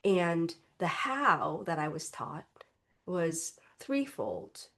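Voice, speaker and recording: A woman reverend giving a contemplative Sunday talk, her voice congested as she recovers from illness, speaking gently and reflectively and often adding "right?". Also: fairly low pitch, big pitch swings, very slow, gravelly. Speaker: reverend and spiritual teacher.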